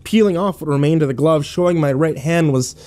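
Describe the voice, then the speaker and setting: A man's voice: a little high, a little fast, dark tone, close to an everyday voice. An actor playing a battered, bitter young man who narrates his nightmare in terse, sardonic, profane fragments for a horror audio drama. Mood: weary, bitter.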